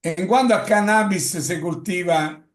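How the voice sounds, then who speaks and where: Italian-accented man lecturing in an echoey room. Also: high-pitched. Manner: halting, with frequent fillers and self-corrections. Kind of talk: lecture presentation.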